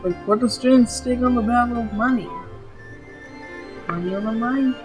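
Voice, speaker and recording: A woman's voice: fairly high pitch, moderate pace. A woman giving casual, informal gameplay commentary as a video host, with frequent filler words. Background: game music.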